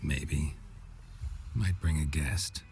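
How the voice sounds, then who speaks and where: An actor playing a gruff, dry-humoured monster hunter in a fantasy game, with terse deadpan delivery and a gravelly, hushed voice. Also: male voice, extremely low pitch, drawn-out words, slight background noise, exaggerated voice. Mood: dry, wry.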